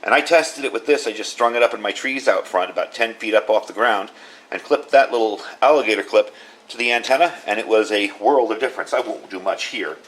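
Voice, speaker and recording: A man's voice: on the low side, brisk, a bit bright, poor audio. Middle-aged man casually demonstrating gear. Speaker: video host reviewing radio gear. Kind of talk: casual product demo commentary.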